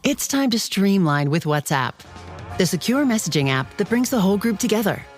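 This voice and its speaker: A woman's voice: mid-range voice, big pitch swings, brisk. A woman reading a scripted commercial as a voice-over. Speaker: advertisement voice-over. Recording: music bed behind voice. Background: music.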